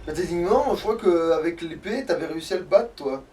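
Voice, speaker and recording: A man's voice: a little high, expressive, fairly quick, mellow. A man playing a video game and commenting casually in a rambling, exasperated, grumbling way. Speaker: let's player. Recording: distant mic with faint background noise.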